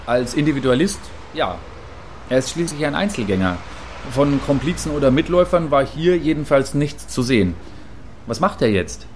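A man's voice: mid-range voice, animated, normal speed, a little mellow, natural voice. An opinionated male field reporter in a radio play, acted by a man, with a mid-range voice over faint ambient noise. He muses in a hesitant but insistent way, stubborn and a little provocative.

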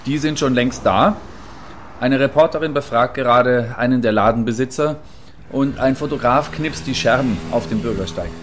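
A man's voice: medium pitch, moderate pace, lightly acted. Guy playing radio reporter out in the field, stubborn opinionated type, musing but insistent, bit of background noise, radio drama. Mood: reporting. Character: opinionated male field reporter, stubborn, quietly admiring, provocative. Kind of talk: radio play live report dialogue.

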